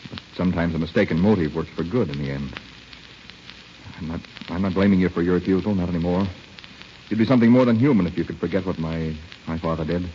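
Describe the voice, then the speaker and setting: A deep-voiced young army captain, played by a man, resigned and bitter after his war wound. He talks quickly in a clipped, restrained radio-drama manner. The recording is old and muffled. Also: slight background noise, lightly acted. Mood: controlled, insistent. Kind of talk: 1930s-40s radio drama acting.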